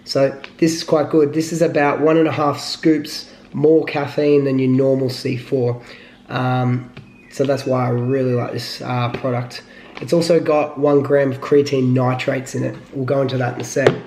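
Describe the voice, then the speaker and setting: A man with a slightly hoarse voice, talking casually to the camera as a fitness vlogger, rambling with lots of fillers. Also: medium pitch, a little mellow, reverberant, a little hiss or hum. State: hoarse from illness.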